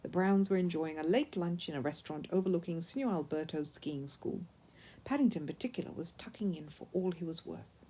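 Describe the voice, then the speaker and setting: A woman reading a children's story aloud as an expressive narrator. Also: on the low side, brisk, breathy edge. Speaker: storyteller, audiobook reader.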